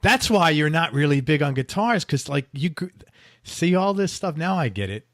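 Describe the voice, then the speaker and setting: A man's voice: on the high side, lively intonation, moderate pace, no room sound, clean studio sound. A man hosting an interview, talking in a laid-back, friendly way and often agreeing with his guest. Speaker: interview host. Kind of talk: casual interview chat.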